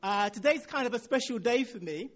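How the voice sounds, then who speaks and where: A man from Nigeria with a Nigerian accent, preaching a sermon in a relaxed way, chuckling now and then. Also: extremely high pitch, brisk, lo-fi sound.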